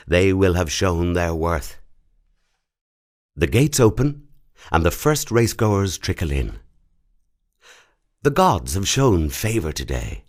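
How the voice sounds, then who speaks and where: Guy reading a short story out loud, low voice, really moves up and down, measured and dramatic. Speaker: actor narrating a short story. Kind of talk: scripted literary narration. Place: audio recording studio.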